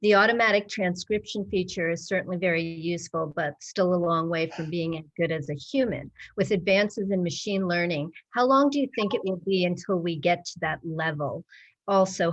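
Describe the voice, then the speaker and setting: A woman's voice: lowish voice, highs cut off. A woman moderating an online panel, reading out audience questions. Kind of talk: moderating a webinar.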